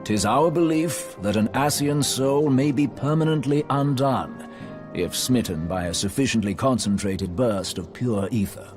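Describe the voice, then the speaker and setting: An actor playing a grave, courteous scholar in a fantasy game, with ornate, archaic and solemn diction. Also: male voice, medium pitch, lively intonation, measured pace, music in the background, lightly acted. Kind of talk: scripted fantasy game dialogue.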